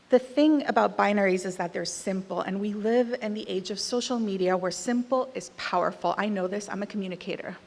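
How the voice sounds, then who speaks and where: A woman's voice: medium pitch, creaky. Woman speaking in a reverberant hall. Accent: American. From Costa Rica. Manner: candid, conversational, with some emphatic punches. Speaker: award speaker. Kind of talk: speech at an awards event. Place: large hall.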